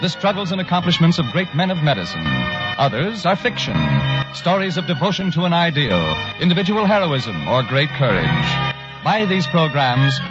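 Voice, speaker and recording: A man reading a formal, earnest sponsor announcement in 1940s radio style, heard through a dull old broadcast recording. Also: on the high side.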